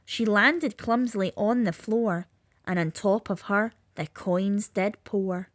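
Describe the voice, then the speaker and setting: A woman's voice: mid-range voice, slow pace, no echo, clean studio sound. A woman with a lilting, sing-song delivery, reading a rhyming children's story aloud.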